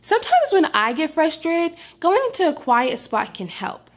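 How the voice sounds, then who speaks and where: A woman reading a story aloud to young children, expressive and instructive, pausing to ask questions as a teacher. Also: sits high.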